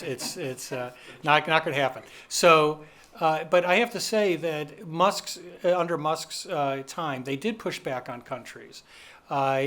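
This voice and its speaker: A man's voice: medium pitch, a bit bright. A man on a conference panel, answering animatedly with self-deprecating asides. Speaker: panelist, free speech commentator. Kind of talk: panel discussion. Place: conference hall stage.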